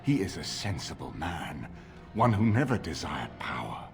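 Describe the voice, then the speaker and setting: An actor playing a grave, authoritative middle-aged lord in a video game, speaking in a formal, measured way with a husky voice. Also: male voice, sits low, big pitch swings, music in the background. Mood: stern, resolute. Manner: formal, measured, stately delivery. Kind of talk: dramatic video game voice acting.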